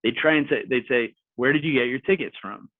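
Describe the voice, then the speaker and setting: A man from Central New Jersey tells stories as a guest on a casual podcast. He talks with lively, animated energy and drops the occasional curse. His voice comes through a thin, phone-like line with no room sound at all. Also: mid-range voice, brisk, no echo. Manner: animated storyteller, swears casually. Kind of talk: casual chat. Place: home room. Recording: tinny phone-like line, dry.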